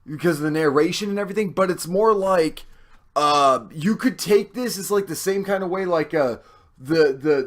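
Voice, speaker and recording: A hoarse man hosting his own video channel, talking in a rambling, excitable way full of swearing. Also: on the high side. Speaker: host. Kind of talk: casual video rant.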